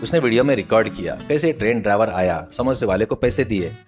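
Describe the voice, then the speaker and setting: A man's voice: on the low side, lively intonation, fast talker, darkish tone, soft background music. A man narrating a scripted children's story as the storyteller.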